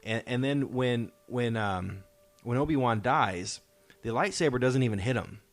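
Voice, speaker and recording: A man's voice: medium pitch, unhurried, soft background music. A man hosting a casual fan discussion, explaining his points emphatically and posing questions that he answers himself. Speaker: podcast host.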